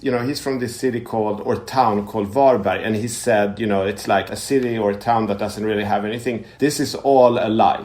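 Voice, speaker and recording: A man from Sweden, a podcast guest, telling relaxed stories full of "you know" and "like". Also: fairly low pitch, a little fast, hardly any pauses. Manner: relaxed storytelling, frequent "you know" and "like" fillers. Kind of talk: casual conversation.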